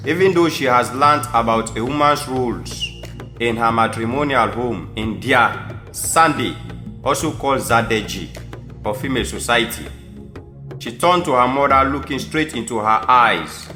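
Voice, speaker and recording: A man narrates a story in a Sierra Leonean accent, reading from a script. His tone is bright and forceful. The room is clearly echoey, with soft music underneath. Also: mid-range voice.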